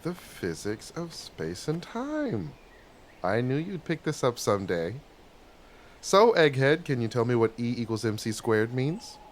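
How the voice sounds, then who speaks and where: A man acting a gentle, bookish middle-aged father in a stage play, explaining things patiently and tenderly, sometimes haltingly. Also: mid-range voice, very animated, slight background noise. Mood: playful, explaining.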